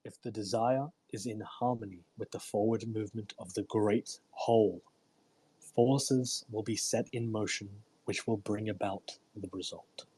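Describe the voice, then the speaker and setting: A man with a husky voice hosting a read-aloud, delivering a book in an emphatic, deliberate way with informal commentary. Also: lowish voice, steady tone, warm tone, poor audio. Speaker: host reading a self-help book aloud. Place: live online audio room broadcast.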